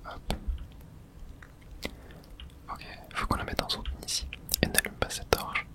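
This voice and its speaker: A woman whispering casual, slangy ASMR commentary as she plays a horror video game. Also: a little slow.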